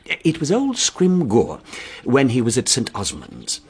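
An actor playing a fond, scholarly English vicar with an indulgent, donnish manner and a taste for theatrical storytelling, in a radio drama. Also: male voice, mid-range voice, huge pitch swings, measured pace, slightly rough, exaggerated voice. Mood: relishing storytelling.

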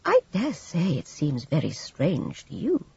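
A woman voicing a smooth, cold, quietly resolute woman in a dramatised storybook reading. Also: on the low side, very animated, heavily acted. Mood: quiet, firm. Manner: composed, cool, measured, polite. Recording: roomy, slightly dull audiobook recording.

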